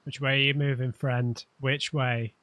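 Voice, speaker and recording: A man commenting as he plays a game, a bit nasal and losing his voice from hay fever, musing aloud in a relaxed way. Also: mid-range voice, a little slow, no echo. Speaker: let's play gamer. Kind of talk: gameplay commentary.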